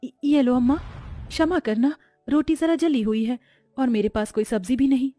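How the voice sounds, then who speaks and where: A woman's voice: high voice, steady tone, moderate pace, dark tone, soft background music. A woman voicing a poor, humble young daughter-in-law in a cartoon story, in a meek, sincere manner. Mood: troubled.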